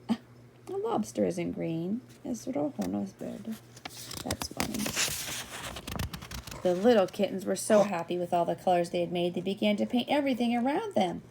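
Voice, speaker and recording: An older woman reading a children's picture book expressively, gently and playfully, drawing out key words and slipping in asides and questions to young listeners. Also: mid-range voice, expressive, moderate pace, vocal fry, long pauses, slight background noise, rough recording. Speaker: read-aloud storyteller.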